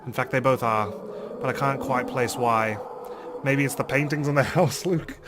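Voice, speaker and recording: A man hosting a let's play, reading a visual novel aloud in a measured, theatrical way. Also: medium pitch, noisy background. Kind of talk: dramatic read-aloud narration of a visual novel with commentary.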